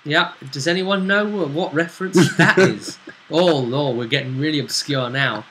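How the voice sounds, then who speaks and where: Bright-voiced male game master, slightly high. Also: moderate pace, a little hiss or hum, rough recording. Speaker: game master and show host. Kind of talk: casual recap of a tabletop role-playing session.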